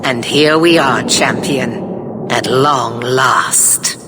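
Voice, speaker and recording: An older villainous commander in a fantasy game, stern and righteous. Her delivery is cold, formal and deliberate, in a low register. Loud rumbling noise sits behind the voice. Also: heavily acted. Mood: cold, accusing. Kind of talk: dramatic video game voice acting.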